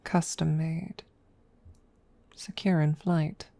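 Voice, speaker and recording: A woman voicing a proud, guarded female dragon in an ASMR audio roleplay, speaking formally and deliberately in a close, breathy murmur that often slips toward a whisper. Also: low voice, level tone, warm tone, no echo, exaggerated voice. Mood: hushed, curious. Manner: formal, deliberate, hesitant, with long pauses. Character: proud, guarded female dragon secretly fond of her rival. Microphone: close microphone.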